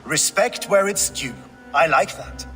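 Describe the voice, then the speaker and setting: An actor playing a seasoned, middle-aged Viking warlord in a video game, wry and commanding. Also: male voice, a little high, measured pace, bright, forceful tone, in a large hall, soft background music. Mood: skeptical. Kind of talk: dramatic video game acting.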